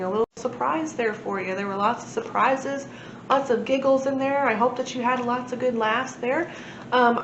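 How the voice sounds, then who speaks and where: A woman hosting a children's book read-aloud, with an informal, chatty, enthusiastic manner. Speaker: library read-aloud host. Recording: echoey room, muffled, noisy with low rumble.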